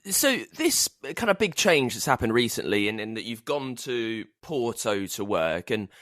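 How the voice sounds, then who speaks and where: A man's voice: medium pitch, big pitch swings, moderate pace, fairly bright tone, no echo, clean studio sound. A man hosting a conversational interview, feeling his way into questions and rephrasing them as he goes. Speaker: podcast host, interviewer.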